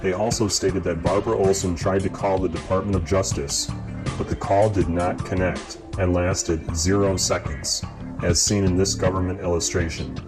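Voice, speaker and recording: A man reading scripted documentary narration in a measured, formal way, as the narrator. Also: low-pitched, fairly even intonation, poor audio. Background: guitar music.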